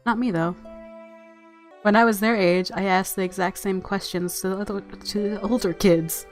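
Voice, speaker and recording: A woman's voice: medium pitch, steady tone, normal speed, soft background music. A woman narrating a visual novel aloud as a let's-player, mixing story reading with casual commentary.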